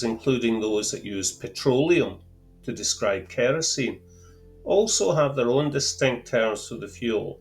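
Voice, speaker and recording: A man with a Scottish accent explaining fuel terms to camera in a careful, deliberate way, with a lightly wry touch. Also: medium pitch. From Scotland. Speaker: outdoor gear channel host. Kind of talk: measured explanatory talk to camera.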